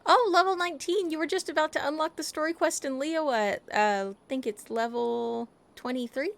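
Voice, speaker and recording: A woman's voice: high-pitched, normal speed, creaky. A playful, chatty woman game streamer talking casually and reacting as she plays. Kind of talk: casual chat and reactions while playing a game. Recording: game music in the background.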